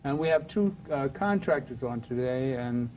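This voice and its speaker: A man's voice: mid-range voice, lively intonation, normal speed, darkish tone, a little hiss or hum, low-quality recording. An older man from Harlem hosting a casual talk show, reminiscing in a rambling way with many fillers. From Harlem, New York.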